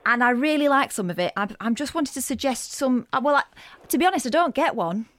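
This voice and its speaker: A woman's voice: high voice, lively intonation, a little fast, fairly bright tone, no echo. A woman voicing a chatty, muddled middle-aged Yorkshire tourist board woman on a prank call, rambling and blunt. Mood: puzzled. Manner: rambling, nosy, confused and blunt.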